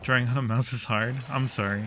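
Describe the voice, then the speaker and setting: A man's voice: medium pitch, steady tone, fairly quick, sharp tone. A man hosting a casual game livestream, chatting in a supportive, reassuring way. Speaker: livestream host.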